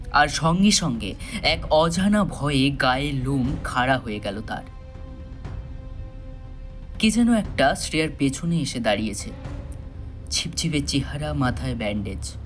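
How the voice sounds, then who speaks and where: A man narrating a thriller audio story in a dramatic, suspenseful style with measured pauses. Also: medium pitch, fairly bright tone.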